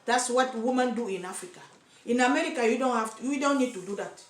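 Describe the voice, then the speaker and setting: A woman's voice: on the high side, quick pace. A woman from Cameroon with a Cameroonian accent, speaking straight to camera as an activist for single mothers, earnest and insistent, stumbling and repeating words as she searches for English. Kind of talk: direct-to-camera vlog. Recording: echoey room.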